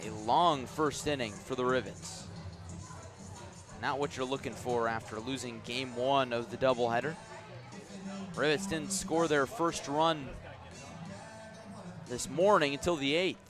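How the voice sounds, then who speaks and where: A man's voice: medium pitch, steady tone, unhurried, pauses often, over music. Man calling baseball play-by-play on radio. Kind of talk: live sports commentary.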